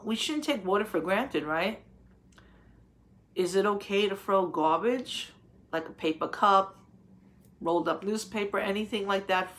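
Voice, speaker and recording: A woman reads aloud for young children at a slow pace, with an average-pitched voice. She phrases things deliberately and explains them simply, in the manner of a teacher. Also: a bit bright. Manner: didactic, asking simple rhetorical questions.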